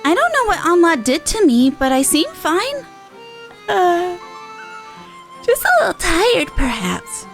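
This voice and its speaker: Woman doing a high, bubbly little pixie. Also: very animated, slow, long pauses, faint music behind, full character voice. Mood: puzzled, upbeat. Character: excitable, cheerful little pixie, easily exasperated. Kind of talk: reading game dialogue in character.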